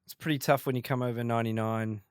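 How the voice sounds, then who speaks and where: A man in his forties with a faint South African accent under an Australian one, telling stories in a relaxed interview, full of restarts and fillers. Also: mid-range voice, very fast, clean tone, no echo, clean studio sound. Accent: faint South African, mostly Australian. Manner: rambling, many restarts and fillers. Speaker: guest comedian. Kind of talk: casual interview chat.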